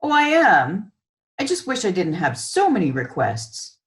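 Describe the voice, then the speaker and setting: A busy, kindly grandmother from a storybook, voiced by a woman reading aloud. She speaks with wry, self-deprecating humor in a lowish voice that moves expressively up and down. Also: huge pitch swings, a little slow. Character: busy, kindly grandmother who knits socks.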